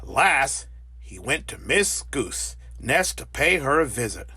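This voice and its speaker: Very animated, slow-paced male storybook narrator. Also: medium pitch, slightly rough, no echo. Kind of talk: animated children's read-aloud.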